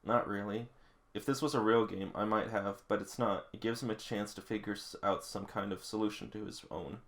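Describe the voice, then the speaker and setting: A man reading the part of a measured, strategic male volleyball coach in a manga, explaining things calmly. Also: fairly low pitch, close to an everyday voice. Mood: calm, considering.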